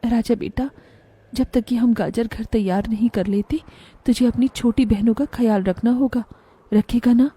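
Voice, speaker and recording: A woman's voice: a little high, steady tone, moderate pace, dark tone, slightly rough, no echo. A woman voicing a poor, tender, careworn village mother in a children's cartoon story, earnest and emotive. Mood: gently instructing.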